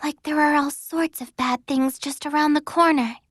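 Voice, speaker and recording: Very high-pitched, anxious young girl by an actress. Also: fairly even intonation, normal speed, no echo, studio quality, lightly acted. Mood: uneasy. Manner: earnest, worried. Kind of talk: video game cutscene acting.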